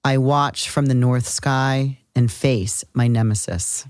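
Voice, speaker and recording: Really deep voiced woman chatting on an astrology podcast, emphatic short bursts, super dry sound. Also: fairly even intonation, slow, darkish tone, smooth, no echo. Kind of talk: casual conversational astrology talk.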